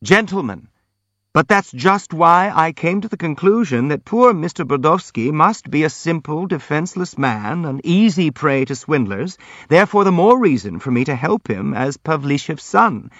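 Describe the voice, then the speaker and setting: Audiobook role: gentle young prince, performed by a man, courteous, earnest, timid, hurrying to explain himself. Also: fairly high pitch, very animated, normal speed, no echo, highs cut off, pitched above the performer's own voice, heavily acted. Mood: hasty, apologetic. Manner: polite, earnest, hastily apologetic.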